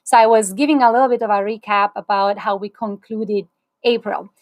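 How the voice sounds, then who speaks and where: A woman's voice: medium pitch, steady tone, brisk, no echo. A woman in her thirties hosts an online talk over a video call, upbeat and chatty. Her voice sits mid-range, recorded dry and close in a small room. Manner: warm, upbeat, with fillers and small restarts. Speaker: host. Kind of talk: livestream webinar hosting. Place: small indoor room, plain wall.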